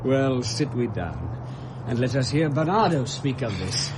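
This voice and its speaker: An actor playing an educated, steadfast gentleman in a classical Shakespearean radio drama, speaking formal declamatory verse. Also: medium pitch, lively intonation, measured pace, background noise, lightly acted. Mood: dismissive. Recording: 1970s radio drama with background sound effects.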